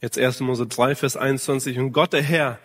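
A man's voice: medium pitch, fairly even intonation, brisk, fairly bright tone, breathy edge. A man preaching a sermon in speech, addressing listeners earnestly and directly. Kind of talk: sermon to men. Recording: long hall reverb.

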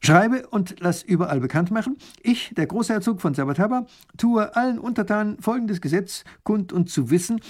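A man's voice: high voice, lively intonation, a little mellow, voice pushed up. A man voicing a small, hot-tempered male grand duke who gives orders imperiously. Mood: indignant. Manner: imperious, quick to anger.

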